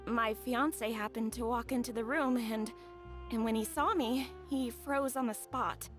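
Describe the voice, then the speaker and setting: A woman's voice: a little high, fairly even intonation, a little slow, fairly bright tone, soft background music. A young woman playing a sweet, humble, grateful bride-to-be in a video game. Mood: earnest, hesitant.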